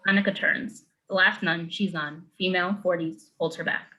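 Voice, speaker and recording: A woman's voice: on the low side, fairly even intonation, moderate pace, sharp tone, dull audio. A woman narrator reading screenplay stage directions in clipped, matter-of-fact phrases.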